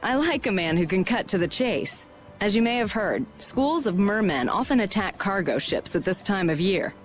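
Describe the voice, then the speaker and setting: A woman's voice: mid-range voice, lively intonation, faint music behind, lightly acted. Commanding, persuasive businesswoman played by an actress. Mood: businesslike. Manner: brisk, polished, persuasive. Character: shrewd, confident businesswoman, commanding president of a merchant guild. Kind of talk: video game dub acting.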